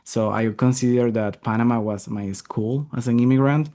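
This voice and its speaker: A man from Venezuela, a software engineer guest, answering interview questions thoughtfully with some hesitant restarts over a video call. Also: medium pitch, moderate pace.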